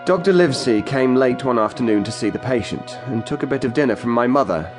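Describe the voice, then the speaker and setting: An actor narrating as a grown man recalling his boyhood, in a measured, literate storytelling style for an audio drama. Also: medium pitch, animated, close to an everyday voice. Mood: grave, vivid. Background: music.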